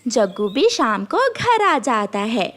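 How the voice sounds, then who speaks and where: A woman's voice: fairly high pitch, sing-song, takes it slowly, a bit bright. A woman narrating a scripted children's story as a storyteller.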